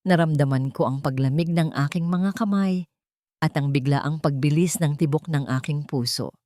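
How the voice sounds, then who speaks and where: A woman's voice: deep voice, normal speed, mellow, no room sound, clean studio sound. A woman with a Filipino accent reading a scripted dramatic story in the first person as an audiobook narrator.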